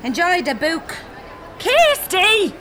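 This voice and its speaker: A harried working-class mother in a radio play, brash and foul-mouthed. Her high voice swoops and stretches words slowly. Clear background noise surrounds her. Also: huge pitch swings, full character voice. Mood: scolding. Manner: brash, calling out to children. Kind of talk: radio drama acting. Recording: noisy shopping centre ambience. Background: clear public ambience.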